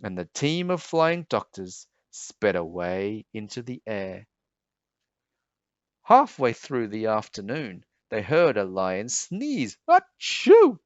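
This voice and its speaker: A man reading a children's story aloud in an expressive, emphatic, measured way. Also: fairly low pitch, no room sound. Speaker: storybook reader. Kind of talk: children's read-aloud narration. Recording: dull, slightly muffled sound.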